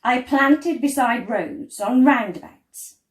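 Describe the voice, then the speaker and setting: A woman reads a children's story aloud expressively, with deliberate phrasing and dramatic pauses. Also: high voice. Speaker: storyteller reading a picture book. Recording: roomy, echoey indoor sound.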